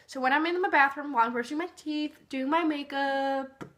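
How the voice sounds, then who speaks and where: A woman's voice: high voice, a little fast, fairly bright tone. A young woman from Norway, a native Norwegian speaker, giving casual tips in a chatty vlog full of fillers. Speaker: vlogger.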